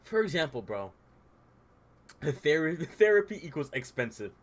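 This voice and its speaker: A man's voice: on the high side, big pitch swings, normal speed. Young man who stutters, rambling casually. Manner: informal, says "bro" and "like" a lot; stutters at times. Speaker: streamer and dungeon master. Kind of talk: casual heartfelt rant on stream.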